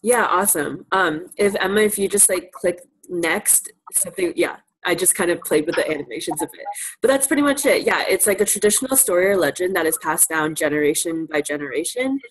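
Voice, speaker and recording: A woman's voice: lowish voice, a little fast, slightly rough, poor audio. A woman presenting an informal, interactive lesson to a class, encouraging and affirming as she teaches. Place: live virtual presentation.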